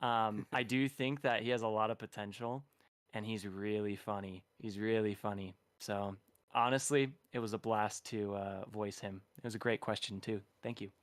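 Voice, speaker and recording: A man with a fairly low voice talks through a fan question in an easygoing, enthusiastic way. His tone is smooth and clear, and the recording sounds dry and close. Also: fairly even intonation, moderate pace, dry recording. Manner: easygoing, enthusiastic, laughs while talking. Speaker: voice actor guest. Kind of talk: casual live-stream Q&A.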